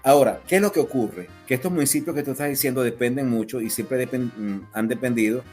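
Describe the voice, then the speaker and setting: Mid-pitched Venezuelan man explaining, faint music behind. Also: fast talker, darkish tone. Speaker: political analyst, interview guest. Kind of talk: explanatory interview talk.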